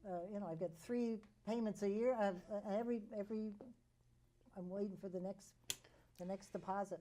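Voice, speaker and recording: Mellow-voiced older woman hosting. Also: mid-range voice, steady tone, a little fast. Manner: chatty, interjects agreement often. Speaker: talk show host. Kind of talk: casual panel conversation.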